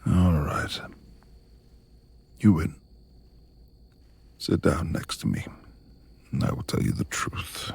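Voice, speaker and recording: A man playing a reclusive, brooding lord of a manor in an intimate ASMR romance roleplay, speaking formally and haltingly in a gravelly, husky, hushed voice. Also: rumbling low, animated, full character voice. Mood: irritated, yielding. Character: reclusive brooding male manor lord, tender beneath gruff exterior.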